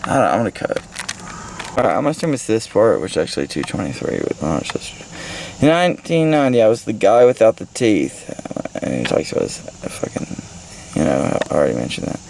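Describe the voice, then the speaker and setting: Creaky, animated American man, rambling and crude. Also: on the low side, fairly quick, rough recording. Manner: crude, heavy swearing, irreverent, scattered. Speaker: vlogger giving a book report. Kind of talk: rambling casual book review with bits read aloud. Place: inside a parked car. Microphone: handheld phone or camera at arm's length. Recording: handheld recording with faint background noise.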